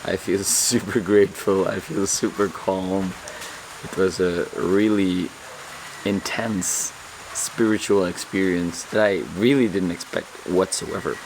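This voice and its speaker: A man vlogging calmly and earnestly, his voice slightly hoarse, often repeating words as he searches for them. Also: low voice, unhurried, noisy background. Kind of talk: reflective vlog monologue.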